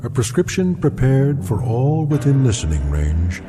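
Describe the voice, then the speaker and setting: An actor playing a grave, ominous anthology narrator, delivering solemn, portentous scripted narration straight to the listener. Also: male voice, mid-range voice, big pitch swings, normal speed, lightly acted. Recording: clear music under narration.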